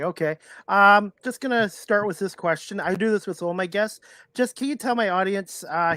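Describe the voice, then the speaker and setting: A man's voice: on the high side, a bit bright. A man hosting a podcast, asking questions in a casual, informal and slightly hesitant way. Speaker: podcast host. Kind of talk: casual interview chat.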